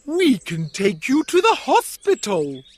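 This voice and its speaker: A woman's voice: sits high, wildly swooping pitch, measured pace, a little mellow, breathy edge, no echo, exaggerated voice. A woman voicing a kindly, helpful grown man in a children's storybook cartoon. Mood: gentle.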